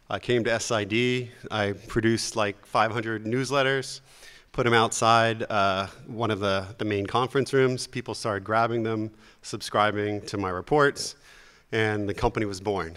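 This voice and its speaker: Man, conference panelist, lowish voice, smooth and clear, matter-of-fact. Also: fairly bright tone. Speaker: panelist, company founder.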